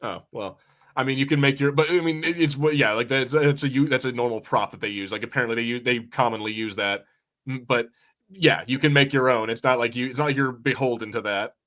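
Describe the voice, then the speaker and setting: A man's voice: mid-range voice, brisk, a bit bright. A man co-hosting a casual watch party podcast, reading out comments and talking in a rambling, self-correcting, sometimes profane way. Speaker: podcast co-host.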